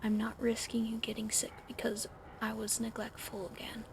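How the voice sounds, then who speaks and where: A woman whispering softly in an ASMR roleplay, caring but gently bossy, recorded close to the mic at home with a fan running behind her. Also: a little high, monotone, normal speed, gravelly. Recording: fan noise in background.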